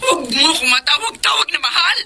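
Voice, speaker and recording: A woman's voice: high voice, big pitch swings, fast, very noisy. An actress with a Filipino accent playing a wronged, emotional woman in a love triangle in a radio drama. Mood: furious, tearful.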